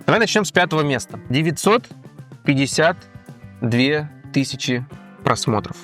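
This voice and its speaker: A man's voice: fairly high pitch, lively intonation, normal speed, fairly bright tone, soft background music. A man hosting a quiz-style show in a casual, conversational way. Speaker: host of a quiz-style show about cartoons.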